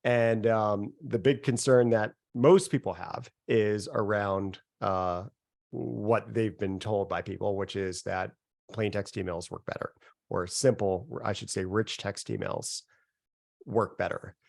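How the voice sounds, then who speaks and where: A man getting over a cold, with a nasal voice, giving a relaxed, conversational workshop presentation over a video call. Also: on the low side, unhurried, creaky, no echo, studio quality. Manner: relaxed and conversational, chuckles at himself. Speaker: software founder presenting a workshop. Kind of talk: casual live webinar presentation.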